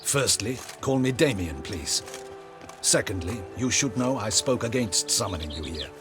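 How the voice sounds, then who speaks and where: Man acting a low, proud, stern guard captain. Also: close to an everyday voice. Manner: formal, curt, emphatic. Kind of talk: video game character acting.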